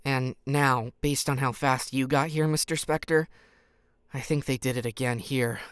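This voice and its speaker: An actor playing an earnest, guarded, sharp-minded teenage boy in an audio drama. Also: mid-range voice, steady tone, measured pace, clean tone, no echo, clean studio sound, close to an everyday voice. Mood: earnest, deducing.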